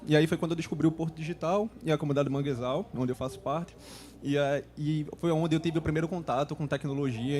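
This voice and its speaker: A man, hoarse from a lingering cold, speaking as a panelist on a conference stage and often clearing his throat. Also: fairly high pitch, dark tone. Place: conference stage before an audience.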